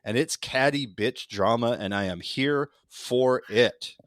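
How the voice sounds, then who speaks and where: A man's voice: mid-range voice, takes it slowly, no echo, clean studio sound. A man bantering casually about politics as a podcast co-host, profane and sardonic.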